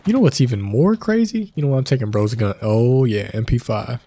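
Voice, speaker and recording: Stuffed up guy talking over his gameplay, kinda mellow, keeps calling chat fellas, faint shooting going on behind him. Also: mid-range voice, a little fast, slight background noise. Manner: chatty, addresses viewers as fellas, excited outbursts. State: stuffy nose from a cold. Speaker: gaming channel host. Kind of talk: casual gameplay commentary. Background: faint game gunfire.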